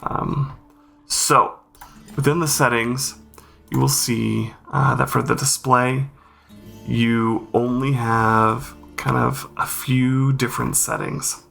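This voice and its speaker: A man's voice: medium pitch, level tone, takes it slowly, creaky, bright, forceful tone, soft background music. A man hosting a game accessibility review, explaining things informally and thinking aloud as he goes. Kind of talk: informal spoken walkthrough and review commentary.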